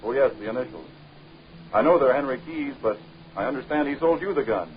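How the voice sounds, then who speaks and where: An actor playing a young, confident American adventure hero in a 1930s film serial, speaking in a direct, matter-of-fact way. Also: male voice, medium pitch, lively intonation, a little fast, a little hiss or hum, lightly acted. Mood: cool, probing. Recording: dull 1930s film soundtrack, faint music.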